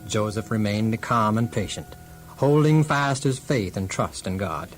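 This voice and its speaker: A man's voice: on the low side, a little slow, natural voice. A man giving scripted storybook narration as the narrator of a children's drama. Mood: solemn. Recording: music underneath.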